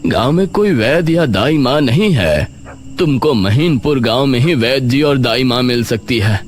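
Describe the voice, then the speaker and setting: A man voicing a calm, resigned older village uncle in a horror story. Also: mid-range voice, a little hiss or hum, close to an everyday voice.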